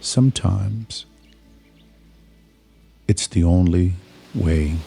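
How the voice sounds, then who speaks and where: Man, low dark mellow voice, guided hypnosis narration, measured, soothing, short phrases with pauses. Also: gravelly, faint music behind. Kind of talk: slow scripted guided meditation.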